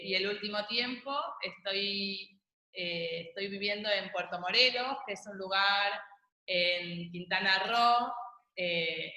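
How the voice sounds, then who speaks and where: A woman's voice: medium pitch, pushed and bright, reverberant, low-quality recording. A woman, chatting on a video call as an interview guest, easygoing and full of small hesitations. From Argentina. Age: 40s. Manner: chatty, laughs easily, many small hesitations. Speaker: guest, Montessori school founder.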